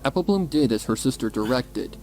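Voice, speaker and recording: A man narrating a dramatic scripted story in a measured, suspenseful manner. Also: medium pitch, animated. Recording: music behind the voice. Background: music.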